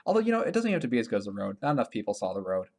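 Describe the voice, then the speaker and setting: A man co-hosting a podcast in casual conversation, informal and thinking out loud as he talks. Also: fairly low pitch, wildly swooping pitch, rapid-fire. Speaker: podcast co-host. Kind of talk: casual podcast chat about games and films.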